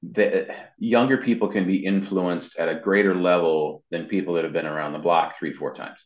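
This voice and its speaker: Low male voice, casual interview guest, emphatic explainer, roomy muffled recording. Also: fairly even intonation, normal speed. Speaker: interview guest, web marketing consultant and voice actor.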